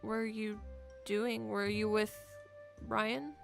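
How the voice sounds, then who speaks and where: Woman playing a slow, hesitant, protective best friend. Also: medium pitch, little pitch movement, creaky, a bit bright, soft background music. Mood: probing, uneasy. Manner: hesitant, sighing, careful. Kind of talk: improvised Minecraft roleplay acting.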